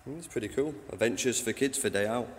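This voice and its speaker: A man who cleans a train station, giving a casual walking tour on his phone, chatty and rambling, with a deep voice. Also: fast, slight background noise. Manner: chatty, rambling, says "you know what I mean". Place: old train station toilets and platforms at night. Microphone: handheld phone.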